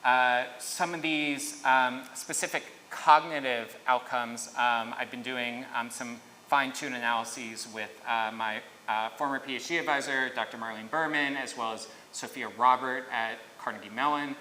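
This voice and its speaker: Man in his thirties presenting research, bright halting voice, echoey hall with faint noise. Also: medium pitch, steady tone. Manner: halting, filler-heavy, restarts mid-thought. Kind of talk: conference talk with Q&A.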